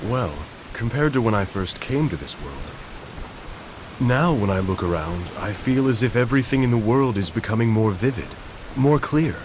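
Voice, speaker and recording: An actor playing an ailing young male composer in a game cutscene, speaking softly and reflectively. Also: medium pitch, lively intonation, moderate pace, close to an everyday voice. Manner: soft, reflective, searching delivery. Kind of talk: video game cutscene dialogue. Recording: hissy game audio capture.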